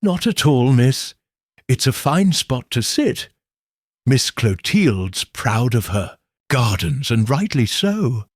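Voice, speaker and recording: Grey-haired storybook housekeeper woman, played by a man: folksy, loyal, confiding, slightly husky, unhurried. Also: medium pitch, very animated, no room sound, clean studio sound. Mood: kindly. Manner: folksy, confiding, dialect phrasing.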